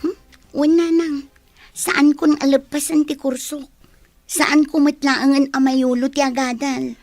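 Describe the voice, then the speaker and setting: High-pitched, stubborn Ilocano daughter, played by a woman. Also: level tone, measured pace, a little mellow, dry recording. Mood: defiant. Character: lazy, stubborn teenage daughter who shrugs off school. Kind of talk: Ilocano radio drama acting.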